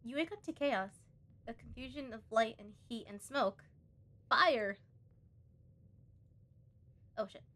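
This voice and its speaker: A woman's voice: fairly high pitch, lively intonation, slow pace, pauses often, fairly bright tone. A woman game streamer chatting casually and reactively, reading on-screen text aloud and muttering asides to herself.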